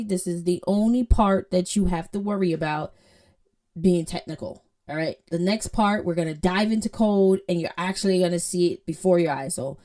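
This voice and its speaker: A woman's voice: medium pitch, mellow. A woman from Jamaica with a Jamaican accent, teaching a coding lesson in a playful, chatty way and often checking in with her listeners. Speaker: coding tutor. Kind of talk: casual screen-share tutorial.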